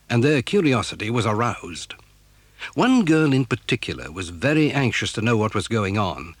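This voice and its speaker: A man's voice: medium pitch, no room sound. A man giving scripted narration of a children's story.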